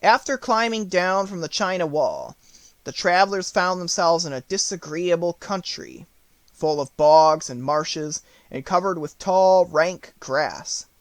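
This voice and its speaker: Male audiobook narrator for a children's storybook, slightly high voice, dry close recording. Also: lively intonation, a little slow, no room sound.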